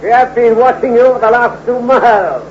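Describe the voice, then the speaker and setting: An actor playing a menacing bandit guarding the gold, giving cold, commanding threats. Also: male voice, extremely high pitch, moderate pace. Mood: threatening. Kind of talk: 1940s radio acting. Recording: muffled old radio recording with clear hiss.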